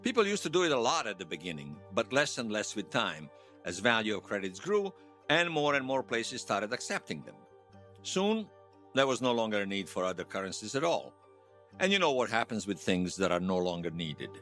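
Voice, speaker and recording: A man's voice: on the low side, sing-song, fairly quick, fairly bright tone, faint music behind. An actor playing a wry, folksy Bosnian man who tells his past in an audio drama interview. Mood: wry, explanatory. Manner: wry storytelling with colourful folk sayings. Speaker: storyteller being interviewed.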